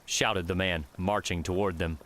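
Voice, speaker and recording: Low-voiced man narrating an audiobook. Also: steady tone, moderate pace, a bit bright. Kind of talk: scripted fiction narration.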